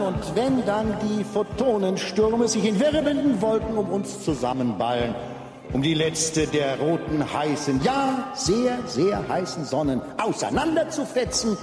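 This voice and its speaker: An actor playing a grandiose, oily cabaret showman who effusively hosts the end of the universe in a radio play. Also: high voice. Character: gushing, theatrical showman compering the end of the universe. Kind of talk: bombastic cabaret master-of-ceremonies patter. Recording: echoey hall reverb, music and audience behind.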